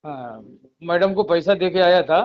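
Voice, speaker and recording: A man's voice: a little high, measured pace. A man talking casually in a recorded phone conversation. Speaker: caller in a leaked phone recording. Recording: muffled recorded phone call.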